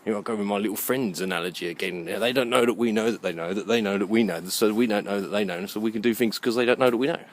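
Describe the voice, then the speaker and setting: A man giving a rambling, halting monologue as he walks outdoors, musing and questioning himself. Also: on the low side. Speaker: vlogger. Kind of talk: rambling walk-and-talk monologue. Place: outdoors, walking along a muddy alleyway.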